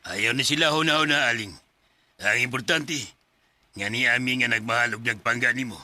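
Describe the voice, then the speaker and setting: Radio drama father: sober and firm, mid pitch, very sharp edge. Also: moderate pace, no echo. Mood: grave, firm.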